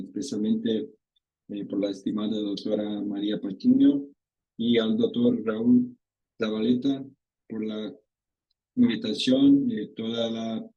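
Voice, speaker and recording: Slow, halting Brazilian man presenting. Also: lowish voice, warm tone, lo-fi sound. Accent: Brazilian Portuguese. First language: Portuguese. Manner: halting, searching for words in a second language. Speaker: university researcher presenting. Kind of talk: conference presentation.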